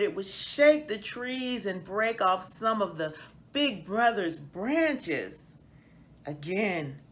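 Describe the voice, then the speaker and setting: A woman reading a children's picture book aloud as a storyteller, in a deliberate, expressive manner. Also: mid-range voice. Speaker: read-aloud host, storyteller.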